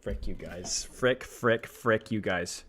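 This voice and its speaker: A man's voice: on the low side, takes it slowly, fairly bright tone, a little hiss or hum. A man streaming live, chatting casually to his viewers in an exasperated, self-mocking, rambling way.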